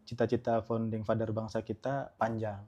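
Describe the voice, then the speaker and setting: A man from West Nusa Tenggara speaking as a guest on a podcast, earnest and measured with speech-like phrasing. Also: on the low side, flat delivery, warm tone, muffled recording. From West Nusa Tenggara, Indonesia. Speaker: guest, youth organization leader. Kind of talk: casual podcast interview.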